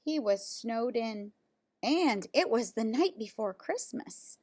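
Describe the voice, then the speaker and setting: A woman with a fairly high voice reads a children's story aloud at a very slow pace. Her pitch moves up and down a great deal. She breaks from the text for casual comments and questions to her young listeners. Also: lively intonation, darkish tone. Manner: pauses to comment on pictures and ask listeners questions. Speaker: storyteller reading a children's picture book aloud.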